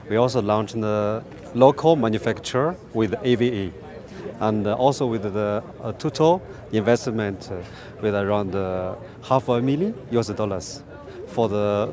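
A man in his thirties with a Chinese accent answering interview questions outdoors, haltingly and repeating phrases as he goes. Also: on the low side. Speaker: company representative being interviewed. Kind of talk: unscripted press interview. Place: outdoors beside a parked truck.

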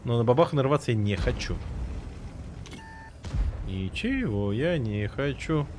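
A man streaming a game live, chatting with viewers in a rambling way and reacting loudly to the action. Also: mid-range voice, unhurried, a little hiss or hum. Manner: excitable, rambling, swears, jumps between chatting and reacting to the game. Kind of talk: live gameplay commentary and chat.

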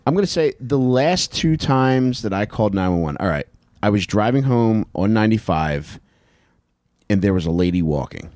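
Low-voiced man, lively podcast banter. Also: normal speed, dry recording. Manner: blunt, profane. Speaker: podcast co-host. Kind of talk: casual comedic true-crime chat. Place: small indoor podcast room on couches. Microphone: handheld microphone held close.

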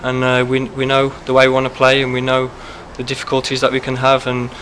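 Fast-talking young footballer, flat delivery. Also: male voice, medium pitch, slight background noise. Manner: quick, steady answers with many fillers. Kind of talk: post-match interview. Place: press backdrop, indoors. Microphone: handheld mic held close.

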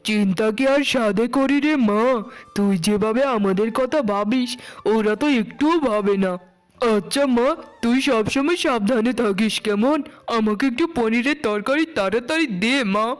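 A woman's voice: a little high, normal speed, natural voice. A woman voicing a doting middle-aged village father in a cartoon story, with an affectionate, fatherly manner. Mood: concerned.

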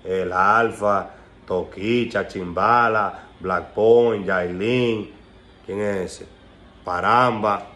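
A man's voice: on the low side, fairly even intonation, drawn-out words, sharp tone. A young man with a Dominican Spanish accent gives an emphatic, confrontational, slangy rant as an online commentator talking casually to the camera. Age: young adult. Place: small room at home, indoors. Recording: roomy echo, faint background noise.

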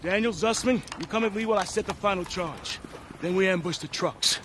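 A man acting as a dutiful soldier in a World War II game. His voice sits high and stays firm and businesslike, with outdoor vehicle noise clearly behind it. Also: fairly quick, lightly acted. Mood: focused.

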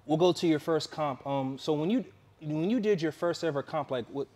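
A young man interviewing a guest in a relaxed, halting way, full of fillers and restarts. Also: a little high. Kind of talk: casual interview.

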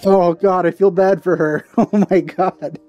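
A man's voice: sits high, fairly even intonation, unhurried, a little mellow, dry recording, muffled recording. A man giving casual commentary as he plays a video game. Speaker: let's player. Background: game music.

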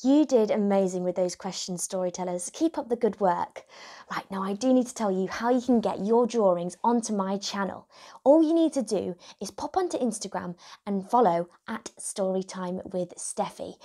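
A woman's voice: mid-range voice, mellow. A woman in her twenties hosting a children's storytime, reading and talking to young viewers in an encouraging way. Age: 20s. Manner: encouraging, addressing children directly. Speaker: children's storytime host and reader.

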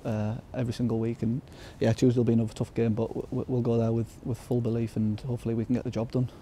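A man's voice: medium pitch, little pitch movement, fast talker, warm tone, a little hiss or hum. A young footballer in his twenties, giving a modest, upbeat post-match interview outdoors at the ground at night, with lots of fillers and restarts.

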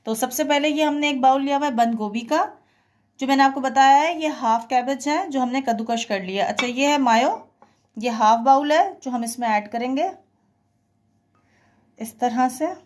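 A woman's voice: high-pitched, moderate pace, a little mellow. A woman presents a home recipe step by step, conversational and instructional, mixing in English words. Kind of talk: step-by-step cooking tutorial voice-over.

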